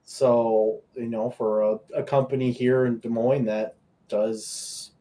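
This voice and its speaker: Young man from Colorado, mid-pitched, laid-back and casual, podcast guest. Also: little pitch movement, takes it slowly, warm tone. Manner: laid-back, swears casually, chuckles at stories. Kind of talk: casual chat.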